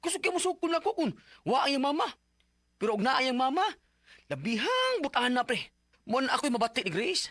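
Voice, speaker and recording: A man's voice: extremely high pitch, sing-song, a little fast, long pauses, pushed and bright, dry recording, full character voice. A man playing an exasperated, hot-tempered stepfather in a Bisaya radio drama, scolding and complaining. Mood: frustrated.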